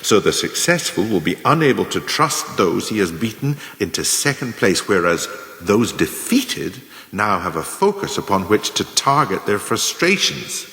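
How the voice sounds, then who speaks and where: Casting: male actor as cynical, self-assured career mentor for radio play; formal rhetorical lecturing, highly varied intonation, heavy hall reverb. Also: big pitch swings, fairly bright tone. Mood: measured, cunning, instructive. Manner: formal, rhetorical, deliberate emphatic phrasing. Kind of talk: scripted dramatic monologue, like a lecture of numbered lessons. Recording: very echoey, long hall-like reverb.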